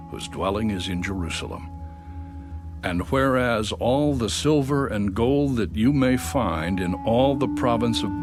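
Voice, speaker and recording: A man playing a Persian king, formally issuing a measured, authoritative decree in a dramatised scripture reading. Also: on the low side.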